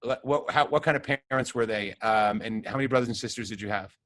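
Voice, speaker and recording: Halting middle-aged male host. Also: on the low side, a bit bright, no room sound. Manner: hesitant, many restarts and fillers. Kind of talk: casual conversation with old friends.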